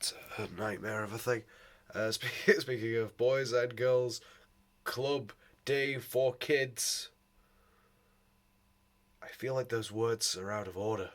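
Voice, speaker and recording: A man with a sore, hoarse throat, rambling casually in a vlog, swearing and laughing often. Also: mid-range voice, steady tone, slow, pauses often, a bit bright. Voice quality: hoarse, voice cracking. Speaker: vlogger.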